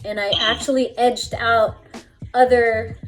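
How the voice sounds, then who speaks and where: A young woman who grew up in the Philippines talks about her styling work in a bright, fairly high voice. She is chatty and upbeat and fills her sentences with "like" and "you know". Faint music plays behind her. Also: little pitch movement, a little slow, poor audio. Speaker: fashion stylist. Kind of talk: casual interview.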